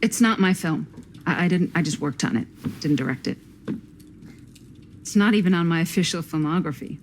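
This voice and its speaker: Guarded film director played by a woman, game drama: clipped, defensive, slightly low pitch. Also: moderate pace, a little hiss or hum, close to an everyday voice.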